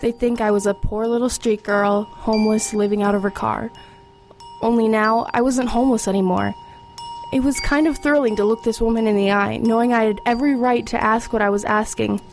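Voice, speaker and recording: Wry, higher-voiced teenage girl, played by a woman. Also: fairly even intonation, fairly quick, faint music behind, close to an everyday voice. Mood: wry, confiding. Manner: wry, confiding, matter-of-fact. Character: streetwise, wry, formerly homeless teenage girl. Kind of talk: first-person narration and dialogue in radio drama.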